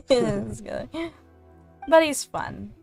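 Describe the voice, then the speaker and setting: A woman with a fairly high voice chats casually over gameplay. She is giggly and rambling, and game music plays under her. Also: lively intonation, extremely slow, gravelly, darkish tone. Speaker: gamer reacting while playing.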